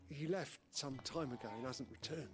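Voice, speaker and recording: An actor playing a worried older man in a video game, speaking softly and low. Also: normal speed, soft background music, natural voice. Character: worried old man waiting for his friend.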